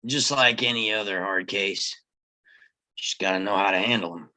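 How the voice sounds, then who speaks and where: A man's voice: fairly low pitch, normal speed, sharp tone, low-quality recording. An actor playing a cool, confident, laconic frontier lawman in a screenplay table read. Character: self-assured western ex-lawman, cool and practical.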